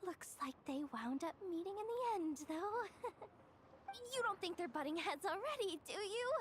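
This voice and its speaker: A woman voicing an anxious, gossipy young female expo manager in a video game, excitable and conspiratorial. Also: very high voice, moderate pace, background noise. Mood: nervous gossip.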